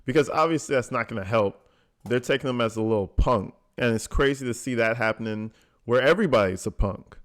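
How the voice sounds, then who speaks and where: A man's voice: medium pitch, fairly quick. A man giving casual, blunt reaction commentary, slangy and full of swearing. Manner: blunt, informal, conversational. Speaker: commentator host reacting to a jail call recording.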